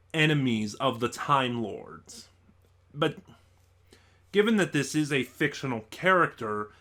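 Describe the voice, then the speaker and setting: A man's voice: medium pitch, bright, forceful tone. A man giving a casual, unscripted review as an online reviewer, rambling and thinking aloud in a stop-and-start way.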